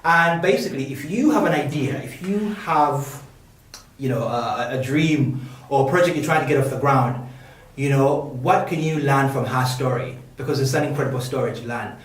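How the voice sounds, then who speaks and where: A man's voice: medium pitch, normal speed. A man hosting a casual podcast, upbeat and full of slang as he introduces his guest. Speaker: podcast host. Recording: very echoey room, far from mic.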